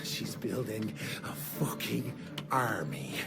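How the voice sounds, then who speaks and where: Gravelly, slow-talking American outlaw vampire. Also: male voice, on the low side, big pitch swings, over loud music, exaggerated voice. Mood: bitter, scornful. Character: scrappy cynical outlaw vampire informant, adult man. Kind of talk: dramatic video game voice acting.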